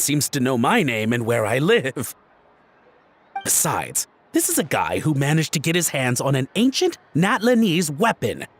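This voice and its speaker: Flustered, fumbling man in a jam. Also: a little high. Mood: wary. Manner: rambling, fumbling, overly formal. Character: nervous, flustered man caught out, eager to please. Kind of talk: video game voice acting.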